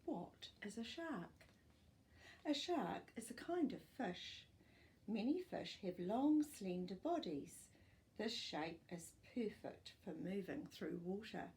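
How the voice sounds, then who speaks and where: An older woman hosting a children's storytime, reading non-fiction aloud with expressive, clear phrasing and explanatory asides for young listeners. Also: mid-range voice, takes it slowly. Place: small furnished living room, indoors.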